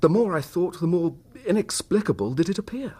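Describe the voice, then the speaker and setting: An actor playing a troubled, suspicious husband in a radio drama, speaking in formal, precise phrases. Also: male voice, high-pitched, animated, brisk, mellow, husky. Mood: grave, puzzled. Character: troubled, suspicious husband recounting his wife's secret.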